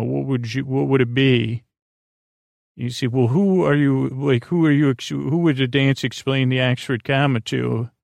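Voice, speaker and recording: A man with a gravelly, fry-laden voice delivers a rambling bedtime monologue as a podcast host. His pitch sits in an ordinary male range, and he meanders haltingly, dropping and restarting thoughts. The sound is dry and close, with very little room. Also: dry recording. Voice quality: creaky, gravelly.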